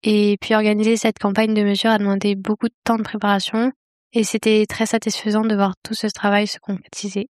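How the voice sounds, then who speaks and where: Composed woman in her twenties, flat delivery. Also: medium pitch, fairly quick, dry recording, clean studio sound. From Paris region, France. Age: 20s. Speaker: engineering intern.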